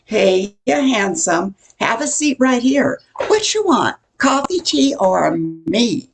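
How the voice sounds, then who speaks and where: A woman's voice: a little high, big pitch swings, slow pace, gravelly, soft background music, full character voice. An older woman playing a brassy, short-tempered diner waitress with a blunt, sassy manner in an amateur radio play. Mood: flirty, sassy.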